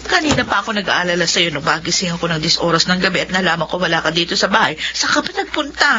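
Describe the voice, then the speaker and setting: A woman's voice: fairly low pitch, lively intonation, fast talker, bright, forceful tone. A woman playing an anxious, scolding mother with fretful questions, in a radio drama. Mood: worried, scolding. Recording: slightly noisy, dull audio.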